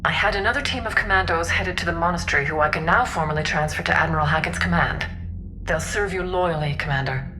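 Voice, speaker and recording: A woman's voice: fairly low pitch, quick pace, background noise, lightly acted. An actress playing a dignified, diplomatic alien stateswoman in a video game, speaking formally and with composure. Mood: sober, formal. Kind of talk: video game cinematic acting. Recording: music behind.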